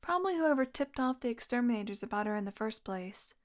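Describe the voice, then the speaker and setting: A woman's voice: fairly high pitch, expressive, quick pace, close to an everyday voice. A woman playing a wounded, exhausted young vampire in an intimate audio roleplay, rambling wearily with sardonic, pained asides. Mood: weary, regretful. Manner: weary, rambling, sardonic, with sighs and breathy asides. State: exhausted, in pain. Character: wounded, exhausted young female vampire, wry and foul-mouthed.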